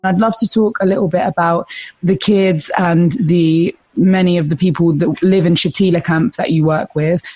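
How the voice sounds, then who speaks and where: Low, mellow-voiced woman interviewer. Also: steady tone, normal speed. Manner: sympathetic, prompting with confirming questions. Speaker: interviewer, podcast host. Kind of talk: interview questions.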